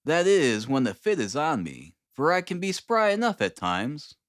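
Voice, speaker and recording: A man voicing a brisk, dryly superior detective in an audiobook, calm and clipped in manner. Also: fairly high pitch, no echo, studio quality, voice pushed up. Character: brisk, dryly superior male detective, languid then energetic. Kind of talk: dramatised audiobook reading of Victorian detective fiction.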